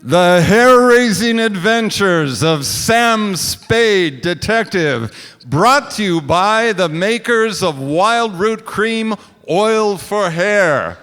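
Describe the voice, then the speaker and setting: A man's voice: sits high, animated, extremely slow. A man announcing in a theatrical, emphatic 1940s radio style, reading scripted introductions and advertising copy. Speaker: radio play director and announcer. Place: stage of a large hall. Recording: echoey stage hall, music behind.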